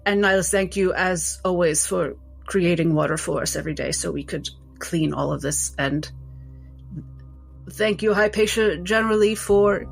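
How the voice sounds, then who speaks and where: Woman playing a slow, formal lady doctor. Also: mid-range voice, gravelly, voice pushed up. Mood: graciously formal. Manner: formal, deliberate, hesitant teaching tone. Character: formal female doctor lecturing, polite, precise, halting.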